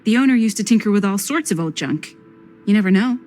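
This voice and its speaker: An actress playing a dry, matter-of-fact young friend in a video game drama, speaking casually. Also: female voice, mid-range voice, expressive, fast, darkish tone, slight background noise, lightly acted.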